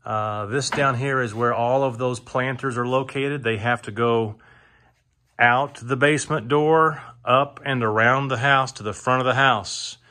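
A man giving an informal auctioneer's walk-through of items for sale inside a house, speaking haltingly and repeating himself for emphasis. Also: mid-range voice, fairly even intonation, sharp tone. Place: indoors, moving through rooms of a large house. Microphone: handheld phone or camera, held by the speaker.

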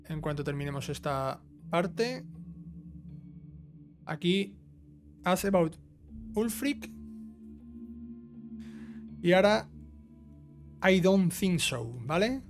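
A man's voice: high-pitched, animated, drawn-out words, pauses often. A man giving a casual step-by-step gameplay walkthrough, instructing and checking in with the listener as he goes. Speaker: video game guide host. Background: game music.

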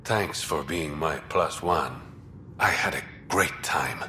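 An actor playing a suave, wry smuggler and secret crime boss in a game, teasing and self-assured. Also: male voice, extremely low pitch, slow pace, pushed and bright, a little hiss or hum, exaggerated voice. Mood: relaxed, confiding. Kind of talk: cinematic game dialogue acting.

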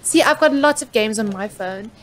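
A woman's voice: a little high, expressive, normal speed, fairly bright tone, a little hiss or hum. An actress playing a chatty, friendly, oblivious female office colleague in a radio drama. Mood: chirpy, friendly. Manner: chirpy, chatty.

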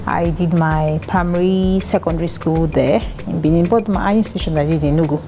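Nigerian woman in her thirties, animated studio guest, lowish voice over a music bed. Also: fast talker. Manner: animated, laughs often, restarts sentences. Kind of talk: casual interview chat. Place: dark television studio set, seated in armchair. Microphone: lapel mic.

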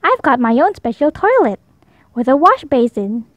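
A woman voicing a confident, cheerful little girl in a storybook, plainspoken and bright in manner. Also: sits high, big pitch swings, normal speed, no room sound, lo-fi sound. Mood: proud.

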